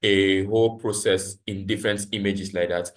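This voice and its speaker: A man's voice: lowish voice, fairly even intonation, normal speed. A man facilitating a live online training, explaining steps instructively and repeating his points. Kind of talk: live tutorial with screen demonstration. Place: online video call, voice over screen share.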